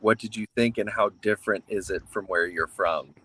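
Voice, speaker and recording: An American man hosting an interview, asking guests questions in a lively way. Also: fairly low pitch, brisk, breathy edge, no room sound, rough recording. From United States. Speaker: host. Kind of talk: casual interview chat.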